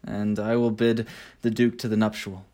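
A man playing a sincere, lovesick young gentleman in a Shakespearean radio play. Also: lowish voice, little pitch movement, normal speed, close to an everyday voice. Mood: lovesick, wistful.

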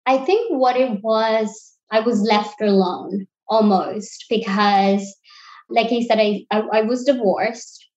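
Sri Lankan-born woman, reflective and halting. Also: fairly high pitch, steady tone, darkish tone, dull audio. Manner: thoughtful, searching for words with restarts. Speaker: interview guest. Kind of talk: reflective personal interview.

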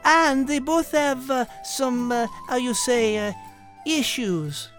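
Clever French kitty, high pitch, very animated, refined, teasing, children's podcast banter. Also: female voice, slow, faint music behind. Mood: chatty. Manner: playful, polite, gently teasing.

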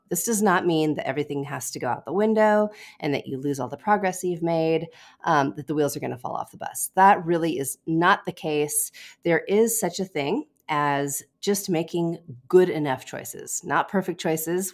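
A woman hosting a podcast with a head cold that makes her voice nasal, talking casually and encouragingly with the odd light laugh. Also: on the low side. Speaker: podcast host and nutrition coach. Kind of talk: casual solo podcast advice.

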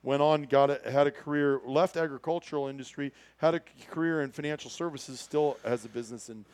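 American male podcast host, middle-aged, slightly high voice, folksy and joking, casual delivery. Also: fairly even intonation, brisk, darkish tone, clear, resonant tone. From Iowa, United States. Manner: chatty, joking, folksy exclamations.

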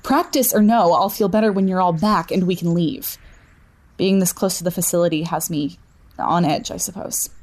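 A woman's voice: fairly low pitch, moderate pace, a little mellow, close to an everyday voice. A woman acting in an audio drama as an anxious, reserved figure who chooses words carefully and a little formally. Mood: uneasy. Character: anxious, reserved woman waiting for her team. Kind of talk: naturalistic fiction podcast acting.

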